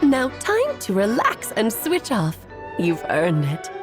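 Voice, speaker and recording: An actress playing a gentle, welcoming young woman in a video game. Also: mid-range voice, huge pitch swings, slow pace. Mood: soothing. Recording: music behind the voice.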